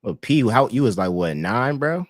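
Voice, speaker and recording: A man hosting a casual sports podcast, hyped and loud, breaking into a team chant. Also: mid-range voice, animated, normal speed, no echo, clean studio sound. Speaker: podcast host.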